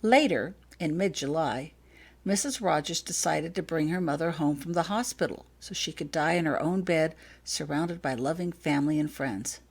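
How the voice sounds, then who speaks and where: Woman reading as a measured, apologetic grown man. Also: deep voice, husky. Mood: grave, factual. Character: calm, apologetic grown man explaining grave matters.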